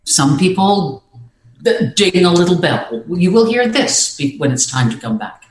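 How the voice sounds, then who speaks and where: A speaking coach lays out advice in a measured, explanatory way, pausing now and then to find a word. The voice sits at a middling pitch. The recording carries a noticeable roomy echo. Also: big pitch swings. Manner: measured, explanatory, occasional hesitations. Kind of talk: instructive talk on presenting.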